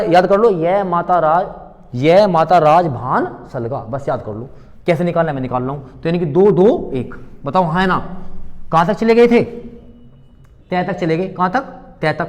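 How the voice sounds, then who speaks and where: High-voiced male teacher drilling students. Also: in a large hall. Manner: drills students with repeated questions and call-and-response answers; addresses students fondly as beta, bachche. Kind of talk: exam-prep classroom lesson.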